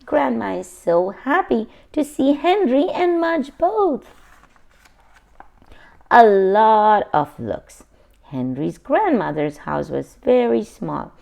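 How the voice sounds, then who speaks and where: A woman's voice: mid-range voice, very animated, takes it slowly, vocal fry, dark tone. A woman reading a children's picture book aloud expressively, adding simple asides for young listeners.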